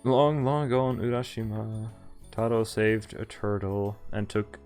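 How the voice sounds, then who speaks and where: Male streamer, lowish voice, wry casual read-along of a visual novel, music underneath. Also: flat delivery, unhurried, darkish tone. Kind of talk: casual game walkthrough, reading dialogue aloud and commenting. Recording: game music behind the voice.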